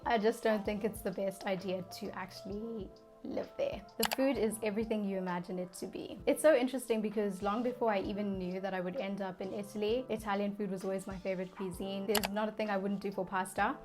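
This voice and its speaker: Young woman vlogger from Zimbabwe, relaxed chatty delivery, middle pitch. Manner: chatty, conversational, frequent fillers like "you know" and "like". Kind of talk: casual talking-to-camera vlog.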